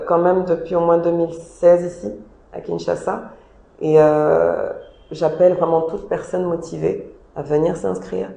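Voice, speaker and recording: A woman's voice: low-pitched, vocal fry, dark tone, slight background noise. A woman giving earnest, emphatic interview answers as the founder of a job agency, often repeating her points. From DR Congo. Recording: echoey room.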